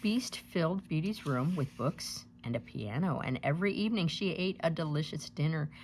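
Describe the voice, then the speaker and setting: A woman reading a children's picture book aloud with dramatic, expressive storytelling. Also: low voice, normal speed, a little hiss or hum, low-quality recording. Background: music.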